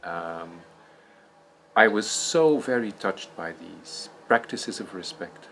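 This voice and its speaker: A man from Belgium, a narrative therapist, recalling a workshop in a soft-spoken, reflective way. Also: sits low, animated, slow pace, gravelly, a little hiss or hum. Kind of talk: reflective recollection.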